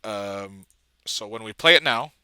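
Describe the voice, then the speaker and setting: A man giving a casual walkthrough as an amateur video editor, thinking aloud haltingly and trailing off. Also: on the low side, expressive, bright, forceful tone, clean tone, dry recording. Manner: halting, trailing off mid-thought.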